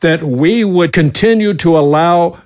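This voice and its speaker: An actor playing an earnest congressman giving a formal, measured speech in an audio drama. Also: fairly high pitch, darkish tone, close to an everyday voice. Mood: grave, insistent. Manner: measured, oratorical. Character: solemn, earnest congressman addressing his colleagues.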